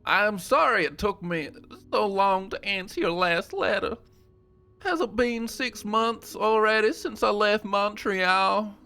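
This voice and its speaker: A woman's voice: medium pitch, takes it slowly, pushed and bright, voice pushed up. A man voicing a young Southern American woman who earnestly reads out a sorrowful farewell love letter. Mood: wistful.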